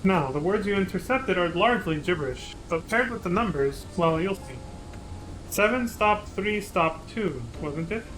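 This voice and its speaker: A man playing a slick, persuasive treasure hunter in a fantasy game, leaning into a half-hearted Italian mobster accent. He haggles with easy charm, and his voice sits fairly high. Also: expressive, normal speed, a bit bright, a little hiss or hum, low-quality recording. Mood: scheming. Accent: attempted Italian mobster. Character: smooth-talking male treasure hunter, wheeling and dealing.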